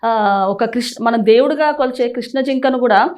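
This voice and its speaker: A woman's voice: fairly high pitch, moderate pace, a little mellow. A woman interviewing a guest about the news in a conversational style.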